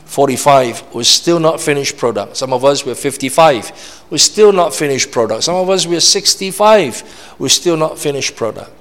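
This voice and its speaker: A man's voice: on the high side, expressive, moderate pace, fairly bright tone. A man from Malaysia, preaching a sermon in an emphatic, repetitive way and often checking in with the congregation. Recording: long reverb of a large hall.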